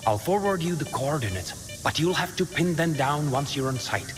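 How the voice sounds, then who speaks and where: An actor playing an eager, self-assured scientist in a video game, speaking in an articulate, insistent way. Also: male voice, a little high, animated, moderate pace, over music, close to an everyday voice. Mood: confident, intent.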